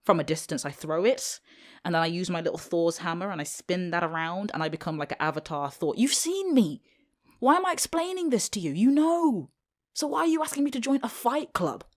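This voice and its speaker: A low-pitched British teenage schoolgirl, played by a woman, secretly a magical girl and thoroughly reluctant about it. She talks in a casual, halting way with slangy, sardonic exasperation. It has the loose feel of an ad-libbed audio roleplay. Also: big pitch swings. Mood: wary, reluctant, then incredulous, exasperated.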